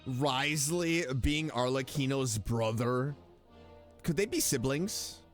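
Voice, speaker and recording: A man's voice: mid-range voice, animated, takes it slowly. A man giving casual, reactive game commentary as a streamer, with chatty asides and dialogue read aloud. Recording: music behind the voice.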